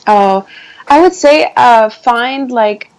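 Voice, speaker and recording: A woman with a soft voice, talking in a casual interview as a guest singer, hesitant and full of fillers. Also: a little high, slow pace, poor audio. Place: near her piano.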